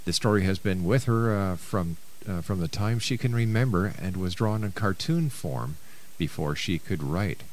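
A man's voice: low-pitched, animated, moderate pace, darkish tone, a little hiss or hum. A man hosting a radio interview, speaking as a presenter to his guest and listeners. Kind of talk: radio interview, partly reading a book synopsis.

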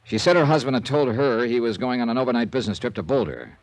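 An actor playing a wry, dogged insurance investigator in a 1950s radio drama, narrating and wisecracking in a dry manner. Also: male voice, mid-range voice, expressive, fast talker, no echo, natural voice, poor audio. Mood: matter-of-fact. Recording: dry old radio recording.

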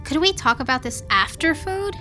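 Brash female space captain for audio drama: high-pitched, blunt, sarcastic, music underneath. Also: lively intonation, moderate pace. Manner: sardonic, blunt, mocking.

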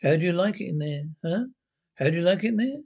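A sly, smug inner voice that taunts and drags out its words, as a man puts it on. It sounds knowing and mocking, with a fairly high voice. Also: lively intonation, fast talker, darkish tone, dull audio. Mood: taunting.

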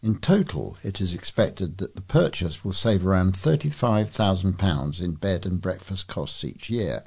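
Low husky man reading news. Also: animated, normal speed, a little mellow. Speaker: volunteer newsreader. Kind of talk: read-aloud local news.

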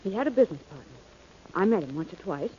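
A woman's voice: lowish voice, very animated, quick pace, dark tone. An actress playing an anxious, loyal young woman, the detective's sweetheart, in an earnest and dramatic 1940s radio style. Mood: concerned. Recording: dull, noisy vintage radio recording.

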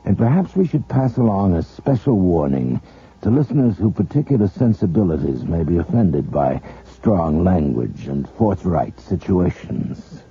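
Super deep gravelly spooky radio narrator, muffled hissy old broadcast. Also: male voice, sing-song, mellow, full character voice. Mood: ominous. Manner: slow, brooding, dramatic delivery. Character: ominous male horror-show narrator. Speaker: series narrator and host. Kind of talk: 1980s radio drama narration.

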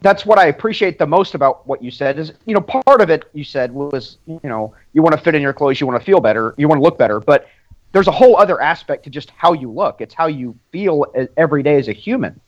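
A man's voice: fairly high pitch, lively intonation, fast, highs cut off. A man co-hosting a podcast, telling personal stories casually and emphatically. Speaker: podcast co-host. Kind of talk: casual chat, personal anecdotes.

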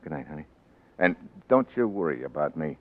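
Gruff, lively tough-guy governor. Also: extremely low pitch, brisk. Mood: gruff, tender. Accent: American. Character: rough, plain-spoken male crook turned stubborn state governor. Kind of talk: 1940s radio drama acting. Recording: muffled, faintly noisy 1940s radio recording.

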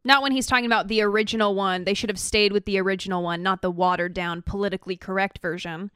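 A woman's voice: medium pitch, steady tone, fast talker, fairly bright tone, clear, resonant tone, no room sound, studio quality. An American woman co-hosting a podcast, talking through politics in casual chat. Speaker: podcast co-host.

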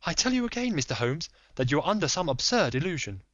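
A man voicing a proud aristocratic lady who turns desperate, in a high, strained voice. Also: very animated, fast, fairly bright tone, no room sound, muffled recording. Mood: defiant. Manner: high, strained, pleading delivery. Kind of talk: audiobook reading.

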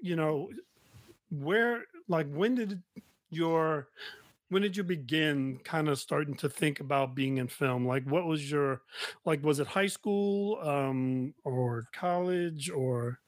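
Male podcast host, higher register, casual and halting interviewer, close dry sound. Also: no room sound. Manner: halting, repeats and rephrases questions.

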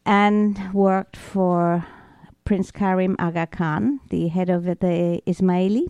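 A woman from Switzerland whose first language was Thai, answering interview questions in a measured, recounting way. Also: fairly low pitch, fairly even intonation, a little mellow, clear, resonant tone, no echo. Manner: measured, recounting, with small restarts. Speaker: guest, spiritual coach.

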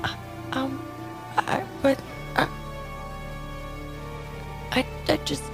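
A woman's voice: high-pitched, little pitch movement, very slow, gravelly, music in the background, full character voice. A woman playing a flustered time-travelling agent in an improvised audio drama, stammering and pleading as she repeats herself. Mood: panicked.

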